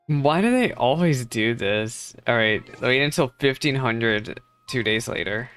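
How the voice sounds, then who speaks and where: A streamer chatting candidly and reacting with quick exclamations to a game's cutscenes on a close mic. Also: mid-range voice, animated, fairly bright tone, clear, resonant tone, soft background music. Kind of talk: casual reaction commentary.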